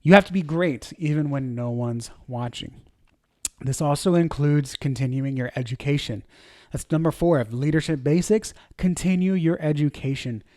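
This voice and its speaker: A man's voice: on the high side, moderate pace, darkish tone, no echo, clean studio sound. A man in his thirties, a church media director, teaching a leadership lesson in an informal, conversational way, with fillers and self-corrections. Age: 30s.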